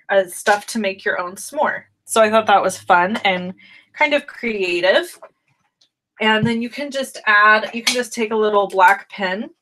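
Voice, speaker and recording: Slightly raspy woman hosting a craft stream. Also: mid-range voice, fairly bright tone, poor audio. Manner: chatty, friendly, greets viewers by name. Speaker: stamping demonstrator hosting a live stream. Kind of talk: casual live craft tutorial.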